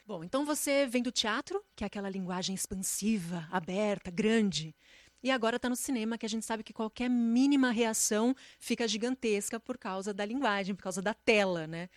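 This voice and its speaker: A woman works as an interviewer in a conversational broadcast. Her voice has a middle pitch and is recorded dry, with little room sound. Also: moderate pace, no echo.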